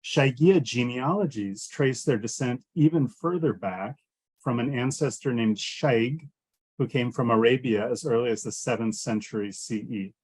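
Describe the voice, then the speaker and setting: A man archaeologist giving a virtual lecture, often pausing with fillers and restarting his phrases. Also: mid-range voice, slightly rough, no room sound. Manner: hesitant, many fillers and restarts. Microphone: computer mic on a video call.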